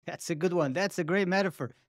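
A man in his thirties, a native German speaker, hosting a podcast interview in a quick, friendly, casual way with many fillers. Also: high-pitched, no room sound, studio quality. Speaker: podcast host. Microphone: studio podcast mic.

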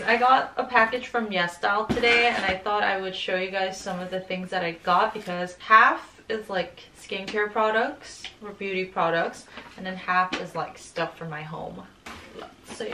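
A young woman chats to the camera in her bright apartment, upbeat and easygoing, with "like" sprinkled through her sentences. Her voice sits at a middle pitch, and a steady background noise is audible. She sounds relaxed and talkative. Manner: chatty, upbeat, says "like" often. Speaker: lifestyle vlogger. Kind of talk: casual vlog narration. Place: bright home room and kitchen, indoors.

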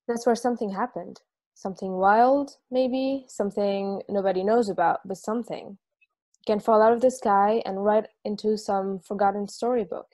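A woman's voice: mid-range voice, mellow, close to an everyday voice. A woman reading the part of a dreamy traveler, musing and wistful, at a video-call table read. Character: dreamy wandering storyteller woman.

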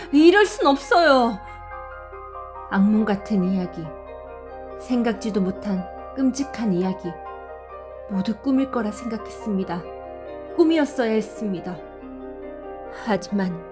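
A woman narrating a scripted audio drama and voicing the mother, with a soft, measured, sorrowful reading. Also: medium pitch, lively intonation. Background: faint music.

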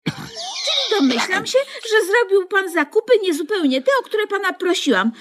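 An actress playing a fussy, nagging, long-suffering pensioner in a radio comedy, prim and inquisitive, stammering in exasperation. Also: female voice, very high voice, very animated, normal speed, a bit bright, slight background noise, heavily acted. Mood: suspicious. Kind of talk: comedic radio play acting.

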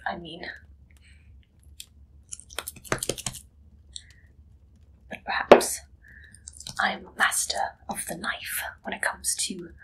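A sly, macabre female pie seller, acted by a young woman for an ASMR roleplay. She whispers at an unhurried pace, lingering coyly over her words with soft glee. Her pitch sits in the middle for a woman. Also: poor audio. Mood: gleefully sinister. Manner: coy, lingering, softly gleeful. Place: small dim indoor room. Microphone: close microphone.

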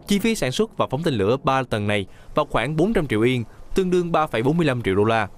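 A man reading scripted news voice-over in a brisk, even newsreading manner. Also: mid-range voice, slight background noise. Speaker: news reporter.

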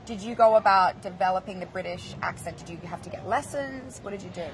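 A woman's voice: mid-range voice, quick pace, a little hiss or hum, rough recording. A young woman hosting an entertainment show, gushing and enthusiastic, laughing often during a celebrity interview. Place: outdoor plaza set with passersby.

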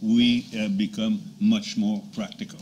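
A man's voice: fairly low pitch, flat delivery, measured pace, a little mellow. A native French-speaking man with a French Canadian accent, hesitant and good-humoured, speaking at a conference debate. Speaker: debate participant. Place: conference meeting room. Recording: noisy conference room.

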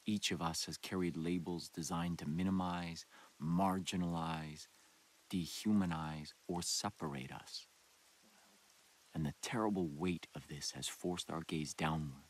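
A man playing a solemn, messianic doomsday cult leader in a video game, preaching in grave, deliberate, rhetorical monologues. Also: low-pitched, fairly even intonation. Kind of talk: dramatic sermon and broadcast monologue.

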